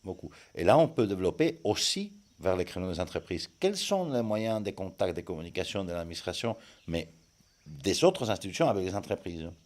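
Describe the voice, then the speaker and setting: Really low voiced guy, not a native speaker, lots of umms and restarts, feeling his way through answers. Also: lively intonation, a little fast, a little mellow. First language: not French. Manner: hesitant, many fillers and restarts. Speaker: guest, communication expert. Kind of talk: conversational interview answers.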